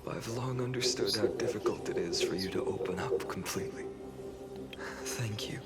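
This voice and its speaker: A man playing an earnest young protégé hero in a fantasy game, speaking softly and reflectively with gentle gratitude. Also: lowish voice, moderate pace, natural voice. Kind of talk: scripted dramatic game voice acting. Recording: loud music behind the voice. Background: loud music.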